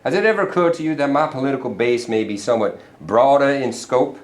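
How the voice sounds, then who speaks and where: A man playing a pompous, scheming middle-aged governor in a staged reading, with a smooth, condescending manner. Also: mid-range voice, fast, natural voice. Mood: smug. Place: performance hall. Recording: roomy hall from a distant mic.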